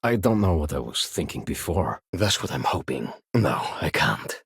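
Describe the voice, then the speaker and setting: A man voicing a wary, fussy luxury goods merchant in a video game, with husky, polite and formal speech. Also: extremely low pitch, sing-song, normal speed, a bit bright, no echo, studio quality, heavily acted. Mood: reluctant, apologetic. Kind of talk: game character dialogue lines.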